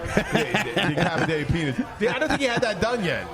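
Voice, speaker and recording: A man hosting a casual podcast, telling jokey bar stories in an animated, profane way, often with a laugh in his voice. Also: high-pitched, a little fast. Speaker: podcast host and bartender. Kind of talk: casual bar banter and storytelling. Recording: noisy bar crowd behind.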